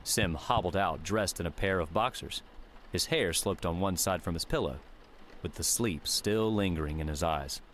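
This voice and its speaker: A man narrating an audiobook in a steady, measured reading. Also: sits low, slight background noise.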